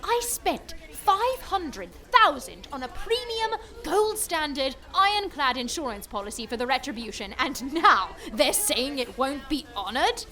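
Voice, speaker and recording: An actress playing a haughty, wealthy heiress in an audio drama, with formal, imperious diction. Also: female voice, high voice, big pitch swings, measured pace, few pauses, pushed and bright, faint music behind, exaggerated voice. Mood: furious. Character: haughty, wealthy heiress, indignant over her ruin. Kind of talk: scripted audio drama acting.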